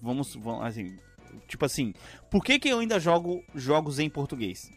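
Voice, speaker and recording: A man's voice: fairly high pitch, sing-song, moderate pace. A man co-hosting a casual podcast, rambling in slangy chat with lots of fillers. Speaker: podcast co-host. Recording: faint music behind.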